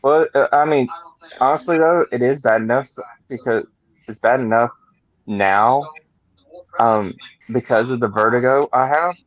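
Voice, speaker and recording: A man's voice: medium pitch, normal speed, long pauses, no echo, muffled recording. A man, rambling with stammered restarts in a casual group chat. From Georgia, USA. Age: 40s. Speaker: chat participant.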